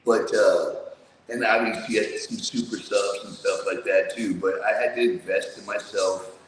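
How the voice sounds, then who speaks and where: Low-voiced blunt man on an echoey call. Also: fairly even intonation, normal speed, a little hiss or hum. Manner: streetwise, blunt, swears freely. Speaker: guest. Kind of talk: casual interview chat. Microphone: remote video call.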